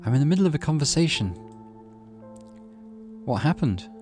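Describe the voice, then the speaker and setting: A man's voice: a little high, big pitch swings, normal speed, darkish tone, soft background music, pitched above the performer's own voice. A man voicing an awkward, lovesick schoolboy who is anxious and easily annoyed, in a visual novel.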